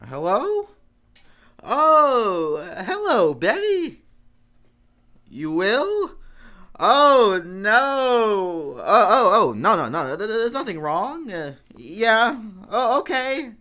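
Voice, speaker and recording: Casting: woman as naive teenage boy, worried stammerer, very animated intonation, slow delivery, radio comedy. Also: fairly high pitch, heavily acted. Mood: nervous. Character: earnest, nervous, naive teenage son. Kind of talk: live old-time radio comedy acting. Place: radio studio, live performance.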